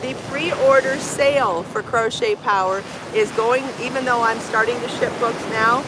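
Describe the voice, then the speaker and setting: A middle-aged woman hosting a casual livestream, rambling enthusiastically and repeating herself, with a slightly hoarse voice. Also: a little high, fairly even intonation, unhurried, very noisy. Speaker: show host and crochet book author. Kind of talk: casual livestream chat to viewers. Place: outdoors on a sandy beach by the sea. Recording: outdoor recording with loud ocean surf. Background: waves and surf.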